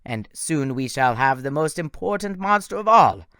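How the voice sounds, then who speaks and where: A man voicing a menacing male monster who speaks slowly and theatrically, gloating over his captives. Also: on the high side, lively intonation, a bit bright, dry recording, studio quality, pitched above the performer's own voice.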